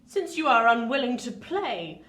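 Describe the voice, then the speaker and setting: A woman's voice: on the high side, very animated, unhurried, a bit bright. A woman acting a bitter, commanding older recluse in a radio play, with abrupt, theatrical orders. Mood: coolly probing. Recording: echoey room with noise.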